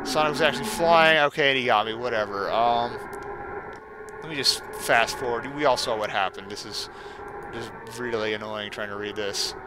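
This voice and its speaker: A man's voice: mid-range voice, moderate pace, noisy background. A man giving casual game commentary and reading a story aloud, with sardonic, exasperated asides. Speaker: let's player reading a creepypasta aloud.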